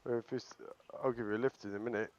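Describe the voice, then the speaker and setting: A man's voice: medium pitch, fairly even intonation, fast. A man talking casually as a fellow player on a game's voice chat. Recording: muffled voice chat, faint noise.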